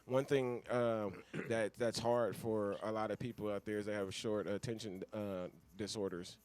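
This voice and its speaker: A man's voice: lowish voice, fairly even intonation, normal speed, a little mellow. A man talking casually as a guest on a talk show, blunt and slangy, with a lot of swearing. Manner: blunt, heavily profane, slangy. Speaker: guest, avid gamer.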